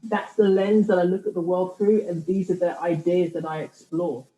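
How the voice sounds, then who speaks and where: A woman's voice: lowish voice, little pitch movement, moderate pace, dark tone, roomy sound, highs cut off. A woman from the United Kingdom, a writer and podcaster, talking in a thoughtful interview and often restarting her sentences. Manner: reflective, thoughtful, many restarts. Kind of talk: conversational interview.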